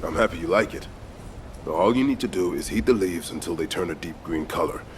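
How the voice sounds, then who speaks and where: A man playing a calm soldier who grows herbs in a video game, speaking in a measured way. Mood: pleased. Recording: faint rumble behind.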